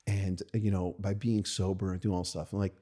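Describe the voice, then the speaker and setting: An American man, a rabbi, telling stories from his own life in a candid, halting way, often checking in with "right?". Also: sits low, flat delivery, mellow. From United States. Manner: thoughtful and halting, many restarts and "right?" tags. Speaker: podcast guest, rabbi. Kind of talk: candid conversational storytelling.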